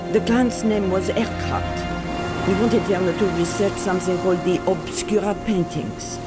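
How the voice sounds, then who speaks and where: A woman's voice: mid-range voice, normal speed, natural voice. An actress playing a stern, suspicious and reserved female academic in a video game cutscene. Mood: uneasy, reserved. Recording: loud music under the voice.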